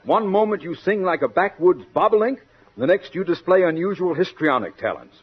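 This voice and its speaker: Pompous showbiz type, husky high voice all over the place, theatrical old radio comedy, bit muffled. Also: male voice, lively intonation, a little fast. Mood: effusive, domineering. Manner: florid, theatrical, declaiming. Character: pompous, grandiose show-business gentleman, effusive and controlling. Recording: muffled vintage radio broadcast.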